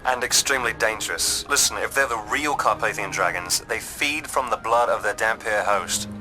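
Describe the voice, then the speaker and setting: An actor playing an alert, knowledgeable mission handler in a video game, earnest and explanatory. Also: male voice, lowish voice, moderate pace, over music, close to an everyday voice, poor audio. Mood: earnest, instructing. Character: alert, knowledgeable male field handler, concerned. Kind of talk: video game character acting.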